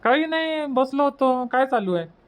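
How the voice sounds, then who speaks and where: A man's voice: very high voice, animated, moderate pace, clean tone. A man playing an easygoing, obliging village uncle in a cartoon comedy, chatting with a Varhadi Marathi accent. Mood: casual.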